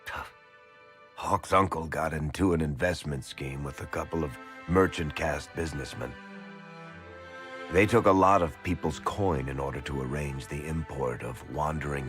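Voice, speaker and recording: An actor playing a wry, streetwise rogue who tells stories conversationally in a game cutscene. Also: male voice, extremely low pitch, heavily acted. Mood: wry, amused. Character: roguish storytelling man, wry, fond, streetwise.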